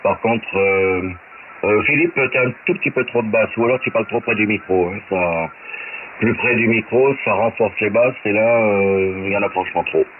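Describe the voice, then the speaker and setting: A man speaking as an amateur radio operator in a casual technical chat, hesitant and thinking aloud, over a shortwave transmission. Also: sits low. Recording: very noisy narrow-band shortwave radio. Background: loud radio static.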